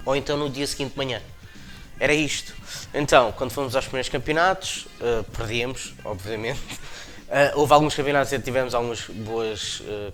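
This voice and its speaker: A man's voice: mid-range voice, fairly bright tone. A man in his thirties from the Lisbon area of Portugal with a European Portuguese accent, telling his story casually with slang and restarts in a documentary interview. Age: 30s. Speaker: interview guest, dancer.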